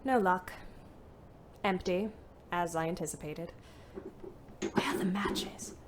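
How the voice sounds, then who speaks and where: A woman's voice: lowish voice, very noisy. An actress playing a weary, bitter young ambulance driver, narrating her wartime diary expressively in an audio play. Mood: weary, bleak. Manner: measured, literate, expressive. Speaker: narrator and lead character.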